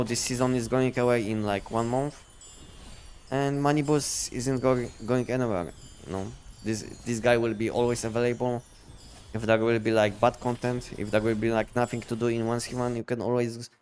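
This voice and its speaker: A man chatting casually on a game livestream, explaining things in a halting, repetitive way. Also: medium pitch, fairly even intonation, a little mellow, slight background noise. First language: not English. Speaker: game live streamer.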